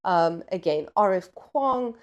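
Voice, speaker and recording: A woman's voice: on the low side, animated, takes it slowly, muffled recording. A woman talking casually in a book vlog, chatty and playful, with slangy, self-deprecating asides. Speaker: book vlogger.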